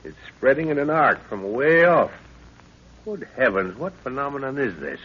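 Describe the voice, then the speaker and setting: A man's voice: mid-range voice, big pitch swings, moderate pace, fairly bright tone, husky. An actor playing an irritable, curious older professor and father, exclaiming and stammering in a 1930s radio drama. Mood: puzzled, intent. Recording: dull lo-fi 1930s radio recording.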